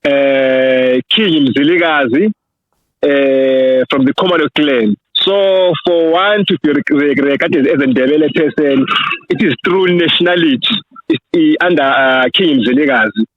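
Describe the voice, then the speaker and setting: A man with a Zimbabwean accent, an author answering interview questions in a halting way, with many fillers and restarts. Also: a little high, no echo. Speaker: author and researcher, podcast guest. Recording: tinny phone line.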